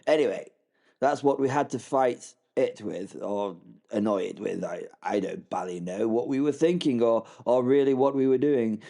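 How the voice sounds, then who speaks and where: Man as plucky upper-class English WWI pilot, narrating; mellow mid-pitched voice, rambling restarts. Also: normal speed, gravelly, lightly acted. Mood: dramatic. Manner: rambling, self-deprecating, stammers and restarts. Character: plucky young British WWI pilot, future wing commander. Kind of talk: first-person audio drama narration.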